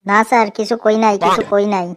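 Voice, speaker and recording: Woman playing quick-talking impish schoolboy. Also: mid-range voice, steady tone, natural voice. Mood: sly. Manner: sly, cheeky. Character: impish, muttering schoolboy with sly excuses. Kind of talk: comedy cartoon dialogue.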